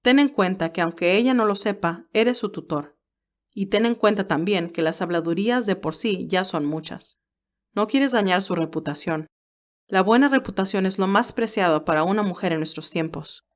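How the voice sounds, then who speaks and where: A woman's voice: medium pitch, moderate pace, close to an everyday voice. A woman voicing a stern, protective older male advisor in an audio drama, speaking in an earnest, admonishing way.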